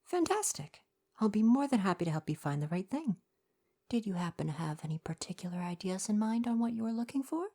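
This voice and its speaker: A woman playing a kind young mer person shopkeeper in an ASMR roleplay, speaking softly in polite, articulate, formal retail patter. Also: mid-range voice, sing-song, fairly quick. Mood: welcoming, helpful.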